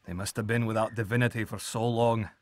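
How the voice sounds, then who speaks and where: A man's voice: lowish voice, fairly even intonation, fast, lightly acted. A man playing a weary, kindly grandfather god in a fantasy audio drama, with a muttering, hesitant delivery. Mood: weary, tender.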